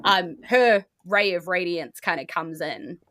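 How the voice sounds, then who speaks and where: Bright-voiced New woman running a game. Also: fairly low pitch, measured pace, no room sound. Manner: informal, vivid, punctuated with "like". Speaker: game master. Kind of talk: improvised narration of a tabletop game.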